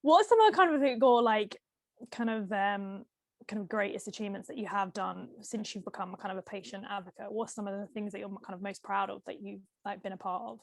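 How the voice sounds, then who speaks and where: A woman's voice: mid-range voice, fairly quick, vocal fry, no echo. A woman interviewing a guest, asking questions hesitantly with many fillers.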